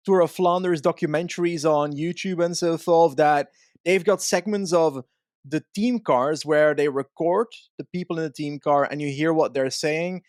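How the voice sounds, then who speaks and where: A man's voice: on the high side, no room sound, studio quality. A man co-hosting a podcast, explaining his points in a casual, analytical way with examples. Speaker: podcast co-host.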